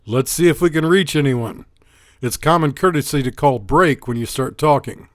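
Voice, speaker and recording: A man hosts a scripted electronics explainer. His voice sits at an average pitch and his delivery is plain and instructional. The recording is close and dry, with little room sound. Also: moderate pace, no echo. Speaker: host and narrator of an electronics channel.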